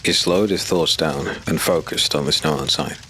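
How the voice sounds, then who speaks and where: A man narrating a dark fantasy audiobook in a steady, measured way. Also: extremely low pitch, slight background noise.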